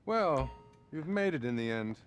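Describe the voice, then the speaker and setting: A man's voice: medium pitch, expressive, soft background music, lightly acted. Actor as condescending, detached male psychiatrist. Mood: detached, formal. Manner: measured, formal, condescending. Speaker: therapist. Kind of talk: acted video game cutscene dialogue.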